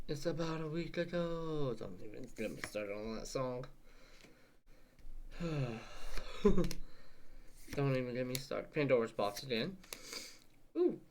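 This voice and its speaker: A man's voice: medium pitch, a little mellow, slight background noise. A young man with a stuffy, sniffly voice, rambling and swearing casually while opening card packs for his channel. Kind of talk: casual unboxing vlog chat.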